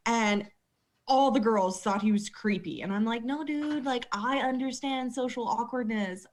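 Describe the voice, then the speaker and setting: A young woman from western Canada telling bartending stories in an easy, casual way. Her voice sits a little high, and she laughs, swears and slips into animated voices when she quotes people. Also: measured pace. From Alberta, Canada. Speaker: guest, former bartender. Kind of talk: casual storytelling interview.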